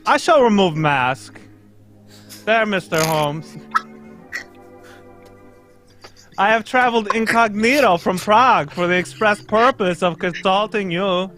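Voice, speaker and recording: A man playing a haughty, formal king with a pompous, halting delivery in a radio play reading. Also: high voice, a bit bright, faint music behind. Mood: imperious, formal. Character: King of Bohemia, male, formal, haughty royal visitor. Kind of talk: live radio play reading, old-time radio acting.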